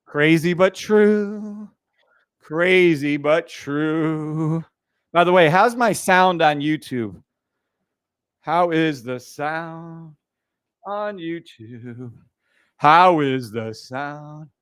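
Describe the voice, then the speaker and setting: A loud man hosts a trading livestream, blunt and profane, often laughing at his own jokes. His voice sits a little high, close and dry on a boom mic. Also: extremely slow, long pauses, clean tone, dry recording. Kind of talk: casual livestream chat.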